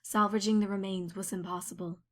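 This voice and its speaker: A woman's voice: medium pitch, level tone, a little fast, natural voice. A woman playing a detached narrator, reading a clinical report in a matter-of-fact way. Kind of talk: scripted narration.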